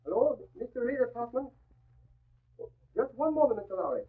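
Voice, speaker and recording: An actor playing a loyal, eager valet who speaks halting, clipped English in a 1940s radio drama. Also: male voice, extremely high pitch, big pitch swings, breathy edge, exaggerated voice. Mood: polite. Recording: muffled old radio recording, thin band.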